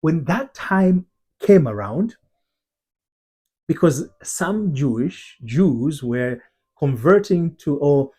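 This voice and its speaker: Husky Tanzanian-born coach, earnest teaching. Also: male voice, a little high, animated, takes it slowly, dark tone. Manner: earnest, repeats words and restarts, stresses single words. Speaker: identity transformation coach. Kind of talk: teaching talk to camera.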